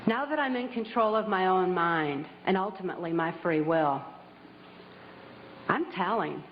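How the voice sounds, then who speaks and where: Woman speaker, slightly low voice, emphatic and deliberate, dull recording with a long hall echo. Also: slight background noise. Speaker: speaker giving testimony. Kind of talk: public speech.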